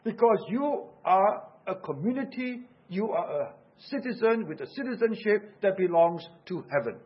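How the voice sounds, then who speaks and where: A man's voice: sits high, lively intonation, normal speed, husky, lo-fi sound. A man from Singapore with a Singaporean English accent, preaching a sermon earnestly and urgently, asking rhetorical questions.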